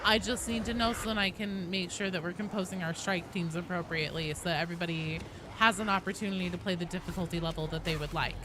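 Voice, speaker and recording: A woman live streaming a game, chatting casually and excitably with her viewers and swearing freely, with game sound behind her. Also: on the low side, fast talker, noisy background. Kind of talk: casual live stream chat with gameplay reactions.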